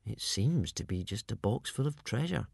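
A man's voice: on the low side, lively intonation, normal speed, creaky, darkish tone, husky, no room sound, clean studio sound, full character voice. Man voicing an anxious young Viking boy. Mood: uncertain, suspicious. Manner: wary, urgent.

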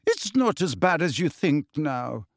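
Man doing a brisk kind older teacher lady, steady, dry close sound. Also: on the high side, dry recording. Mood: reassuring.

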